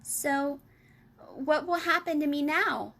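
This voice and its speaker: Woman voicing a curious, high-pitched little girl. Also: slow pace, vocal fry, fairly bright tone, full character voice. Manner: questioning, polite. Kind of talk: children's storybook reading.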